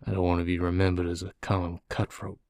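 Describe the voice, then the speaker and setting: A man voicing a calm, weary, doomed prisoner who confesses slowly and methodically in an audiobook. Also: sits low, no room sound, clean studio sound. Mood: placid, resigned. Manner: slow, placid, methodical. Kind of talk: audiobook character dialogue.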